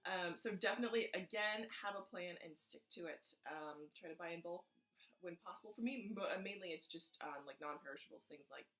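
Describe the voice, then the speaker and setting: A woman's voice: on the low side, a little fast, fairly bright tone, reverberant. A young woman vlogging casually about saving money, chatty with lots of fillers, her voice scratchy from the early morning. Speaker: personal finance vlogger. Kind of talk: casual vlog chat.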